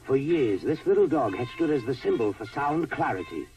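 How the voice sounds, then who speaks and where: A man's voice: mid-range voice, very animated, a little fast, lo-fi sound. A man with an American accent narrating a scripted 1970s television commercial as announcer. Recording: somewhat distant vintage ad, music behind.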